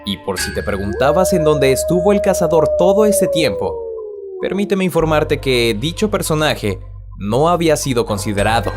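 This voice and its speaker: A man's voice: a little high, sing-song, moderate pace. A man reading a scripted narration in a storytelling style, as a video voice-over. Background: background music.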